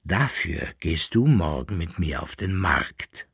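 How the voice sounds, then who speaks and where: Mann spielt wehmütig-schelmischen Geiger mit brüchiger Stimme. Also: male voice, deep voice, slow, vocal fry, husky, no echo, studio quality, voice pushed down, heavily acted. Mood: consoling. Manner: hesitant, feigning calm. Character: wry, evasive, forgetful male fiddler, resigned yet cheerful. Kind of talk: dramatic audiobook storytelling.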